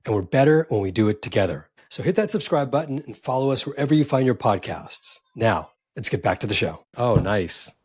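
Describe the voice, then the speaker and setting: A man's voice: medium pitch, expressive, a little fast, hardly any pauses, darkish tone, breathy edge, dry recording, clean studio sound. A man hosting a startup interview podcast, reading an upbeat intro and asking friendly questions. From Silicon Valley, California. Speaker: podcast host.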